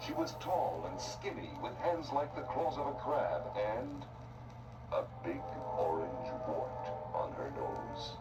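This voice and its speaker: A man's voice: low-pitched, very animated, slow, distant mic, loud music behind the voice, low-quality recording. A man narrating a scripted children's tale in a theatrical storytelling style.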